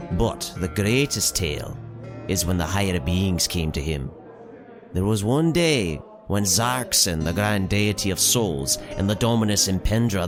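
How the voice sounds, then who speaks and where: Man acting a lively, chatty fantasy innkeeper. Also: on the low side, natural voice. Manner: jovial, chatty, theatrical storytelling. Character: friendly, animated fantasy innkeeper, storytelling and joking. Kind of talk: fantasy audio drama character acting.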